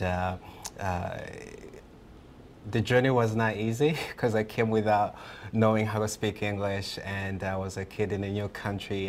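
A young man, speaking earnestly as a guest in a TV news studio interview and pausing often on fillers. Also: sits low, slight background noise. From Rwanda. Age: 20s. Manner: earnest, halting with many fillers.

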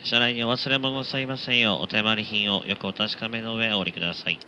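A man's voice: on the low side, steady tone, quick pace, pushed and bright, dull audio, a little hiss or hum. A man working as a train conductor, giving formal, polite, routine announcements over the public address.

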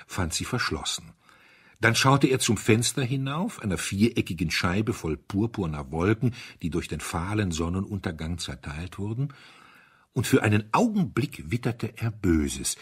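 A man narrating a mystery story from a script in an expressive, dramatic storytelling manner. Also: lowish voice, slightly rough. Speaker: audiobook narrator.

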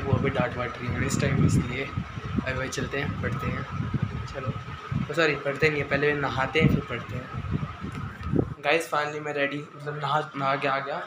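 Hoarse teenage boy vlogging at home. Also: medium pitch, heavy background noise. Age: teens. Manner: chatty, filler-heavy, addresses viewers as "bhai" and "guys". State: hoarse, sore throat. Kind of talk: casual day-in-the-life vlog. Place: small home rooms indoors. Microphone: phone camera held at arm's length.

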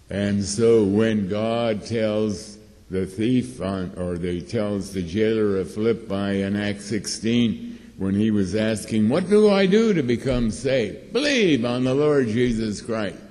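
An elderly man teaching a Bible study from a lectern, reading scripture slowly and deliberately. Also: fairly low pitch, warm tone. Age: older. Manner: slow, deliberate, reads scripture aloud with pauses. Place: church hall with red curtain, at a lectern. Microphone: lectern microphone. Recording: reverberant hall.

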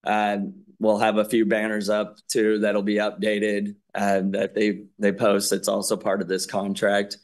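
A man reporting on an athletics contract at a school board meeting, speaking haltingly with many fillers. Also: fairly low pitch, monotone.

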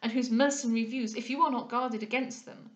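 A woman voicing a scheming, guarded gentleman in a period novel, formal and insistent. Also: on the high side, steady tone, brisk, pitched above the performer's own voice, lightly acted. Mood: insistent warning. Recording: echoey room.

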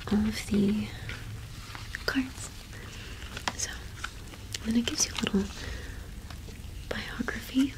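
A woman's voice: mid-range voice, close to an everyday voice, low-quality recording. Woman playing a whispering, kindly cottage witch. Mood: gentle, explanatory. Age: young adult. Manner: soft, unhurried, gently reassuring. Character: kind, welcoming cottage witch shopkeeper serving a traveler. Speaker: ASMR performer. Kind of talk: whispered ASMR roleplay.

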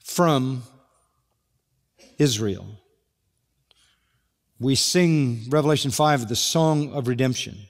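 A man's voice: medium pitch, a little mellow. An older man preaching an expository sermon, earnestly reading scripture aloud and stressing key points as a pastor. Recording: large hall with long reverb.

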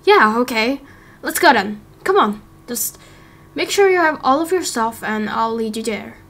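A woman's voice: a little high, lively intonation, a little slow, a bit bright, exaggerated voice. A woman voicing a friendly, encouraging young village man in a game roleplay, with a reassuring manner. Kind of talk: amateur game roleplay acting.

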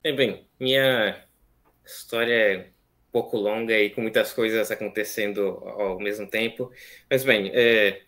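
A young man in his twenties from São Paulo speaks with a São Paulo accent, soft-spoken and hesitant, pausing on fillers. His voice sits somewhat low. He talks over a webcam from a small plain room. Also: fairly even intonation. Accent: São Paulo Portuguese. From São Paulo, Brazil. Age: 20s. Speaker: seminarian guest. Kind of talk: casual interview, recounting his story. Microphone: video call webcam.

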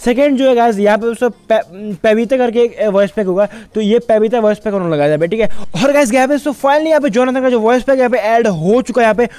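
Fast-talking high-pitched young man. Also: a little mellow, clear, resonant tone, no room sound. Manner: repetitive verbal tags, chatty. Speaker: gaming YouTuber. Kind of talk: casual game update commentary.

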